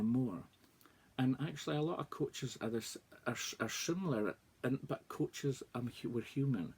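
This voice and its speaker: Scottish guy in his 40s, low husky voice, earnest open vlog talk. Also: warm tone. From northeast Scotland. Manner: earnest, candid, reflective. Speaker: life coach. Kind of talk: personal vlog monologue.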